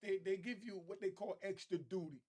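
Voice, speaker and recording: A man's voice: sits high, a little fast, dark tone. A middle-aged man giving casual, slangy commentary as a streetwise host who explains things conversationally.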